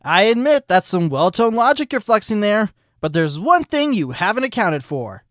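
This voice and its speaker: A man's voice: sits high, big pitch swings, moderate pace, dry recording, studio quality, voice pushed up. A man voicing Flash, a hyper male sloth witness who talks like a peppy fitness coach. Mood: pumped. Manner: loud, peppy coach-like shouting. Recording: music bed behind voice.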